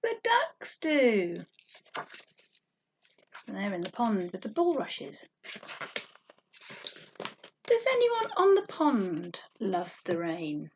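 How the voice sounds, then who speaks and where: Woman, children's storytime reader, unhurried pace, sing-song questioning delivery, slight noise behind. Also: mid-range voice, muffled recording. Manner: animated, sing-song, drawn-out emphasis for small children. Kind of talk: read-aloud picture book storytelling with questions to children.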